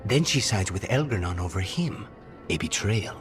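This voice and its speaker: A grave, restrained male assassin, voiced by an actor for a fantasy game. His voice is very deep and resounds in a large hall, with faint music behind. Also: animated, moderate pace, close to an everyday voice. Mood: grave, terse. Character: grave, dutiful male demon-possessed assassin. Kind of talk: video game dialogue.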